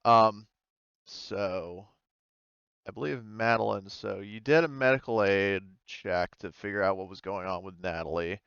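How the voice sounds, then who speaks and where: A man's voice: fairly low pitch, a little slow, creaky, a bit bright, clean tone, no echo, dull audio. A man casually narrating a tabletop roleplaying game as game master, speaking in hesitant, stop-start phrases.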